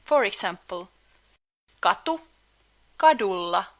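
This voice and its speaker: A native Finnish-speaking woman giving a scripted language lesson, speaking carefully and slowing down for example words. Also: medium pitch, expressive. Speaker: language teacher.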